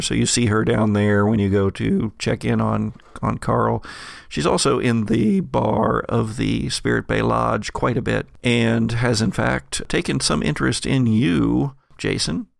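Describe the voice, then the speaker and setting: A man improvising narration as game master of a tabletop game, piecing his sentences together haltingly. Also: medium pitch, creaky, no echo, studio quality.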